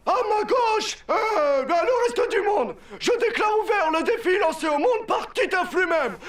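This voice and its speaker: A man voicing an excitable, bossy schoolboy in a French cartoon, announcing things with grand emphasis. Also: extremely high pitch, unhurried, exaggerated voice. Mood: rousing, commanding. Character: excitable bossy schoolboy, best friend and self-appointed contest announcer. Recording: music behind the voice.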